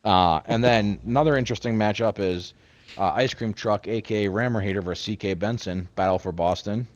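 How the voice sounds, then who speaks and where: Deep-voiced young man, loose podcast chatter. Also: a little fast, dry recording, lo-fi sound. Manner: laid-back, rambling, swears freely. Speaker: podcast host. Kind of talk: casual chat.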